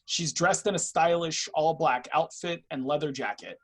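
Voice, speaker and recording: A man's voice: a little high, moderate pace, a bit bright. A man reading stage directions as scripted narration for a play on a video call.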